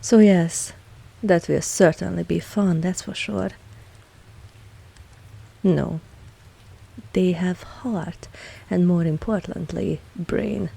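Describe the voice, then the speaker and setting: Woman playing a husky, slow-spoken vampire mistress. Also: exaggerated voice. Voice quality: husky, low, breathy at times. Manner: slow, measured, softly spoken. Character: ancient vampire mistress, composed, dominant, wry, fond of her pet. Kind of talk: intimate ASMR audio roleplay.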